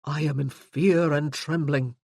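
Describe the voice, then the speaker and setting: Reader doing a teasing, self-assured gentleman in a romance audiobook; dry studio read. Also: medium pitch, steady tone, unhurried, dry recording. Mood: playful. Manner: playful, teasing, then earnest. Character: teasing confident gentleman suitor. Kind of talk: audiobook character voicing.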